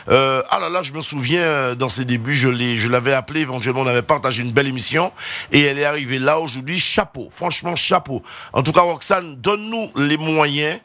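A man hosting an informal interview show, talking in a wordy, halting way and often repeating his phrases. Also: mid-range voice, pushed and bright. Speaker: radio show host.

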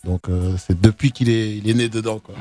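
A man, a musician guest who also interprets, speaking quickly and informally. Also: fairly low pitch, steady tone, warm tone.

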